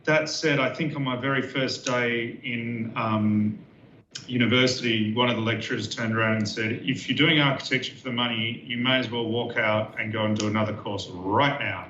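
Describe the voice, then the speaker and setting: A man's voice: medium pitch, normal speed. A man, a guest architect talking casually with lots of fillers and repeats. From Western Australia. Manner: relaxed, many fillers and repeats. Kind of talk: casual interview talk. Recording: roomy, dull lo-fi sound with faint noise.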